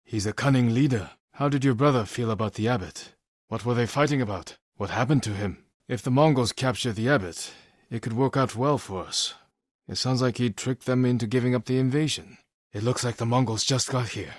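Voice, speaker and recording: A man playing a solemn, resolute samurai warrior in a video game, speaking in a husky, measured, grave way with short phrases. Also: medium pitch, no echo, clean studio sound, lightly acted. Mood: grave, focused. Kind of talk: dramatic video game character dialogue.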